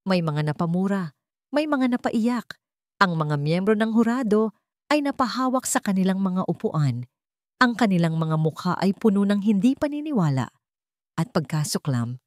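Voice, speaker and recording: A woman with a Filipino accent narrating a scripted dramatic story in a measured, theatrical way, phrase by phrase. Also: mid-range voice, no echo, studio quality.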